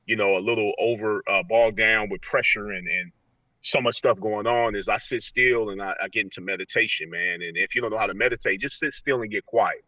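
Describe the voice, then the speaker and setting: Male American motivational speaker, bright forceful voice, slangy interview talk, slightly distant dull recording. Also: lowish voice, brisk, dry recording. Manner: slangy, repeats "you know what I'm saying".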